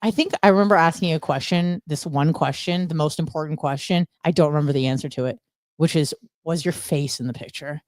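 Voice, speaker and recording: A young woman chatting casually and telling gossipy stories in an animated, blunt, teasing way, acting out dialogue as she goes. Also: deep voice, fairly quick, dry recording, studio quality. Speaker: radio show co-host. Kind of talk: casual chat, gossipy storytelling.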